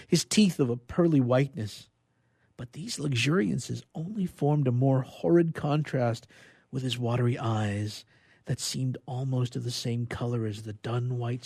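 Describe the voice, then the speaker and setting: A man voicing an anguished scientist in a formal, dramatic reading of a gothic novel, with a husky voice. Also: mid-range voice, a little mellow, no room sound, clean studio sound. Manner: formal, literary, horror-stricken. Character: anguished young male scientist recounting his horrifying creation.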